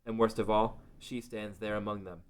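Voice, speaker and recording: A man's voice: lowish voice, flat delivery, normal speed. A man reading dramatic scripted narration for a fantasy story as its narrator.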